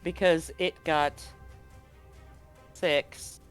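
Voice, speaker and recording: This is a game master running a live tabletop horror game from a home setup. The delivery is easygoing and joking, shifting into slower, measured narration for the scene, while faint music plays in the background. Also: mid-range voice, clean tone. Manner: relaxed, joking, slows for vivid scene narration.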